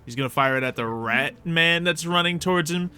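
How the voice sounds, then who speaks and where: A man's voice: a little high, a little fast, bright, forceful tone, clear, resonant tone. A man acting as game master, narrating a tabletop roleplay session in a casual, jokey, informal way.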